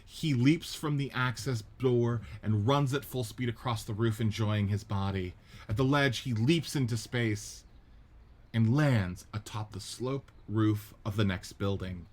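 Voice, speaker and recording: A man narrating the stage directions of a radio play script in a steady, descriptive reading. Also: fairly low pitch, a little slow.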